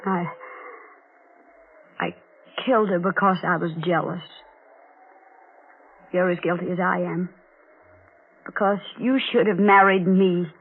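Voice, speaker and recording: An actress in a 1940s radio horror play, playing a desperate, guilt-ridden woman killer with a halting, melodramatic delivery. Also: on the low side, fairly even intonation, slight background noise. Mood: pleading. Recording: tinny old radio recording, music behind.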